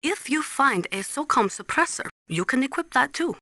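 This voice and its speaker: A woman playing a tough, earnest Ukrainian nuclear weapons analyst in a video game, speaking deliberately and instructively with a Ukrainian accent. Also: sits high, sing-song, pushed and bright, dry recording, clean studio sound, full character voice. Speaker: military analyst and nuclear specialist. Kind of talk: scripted game voice acting, briefing.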